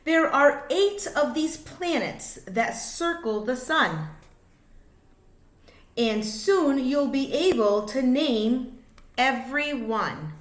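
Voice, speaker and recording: A woman reading a children's picture book aloud like a teacher, in an expressive, sing-song way with drawn-out words. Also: high-pitched. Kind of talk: read-aloud children's storytelling. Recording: echoey room.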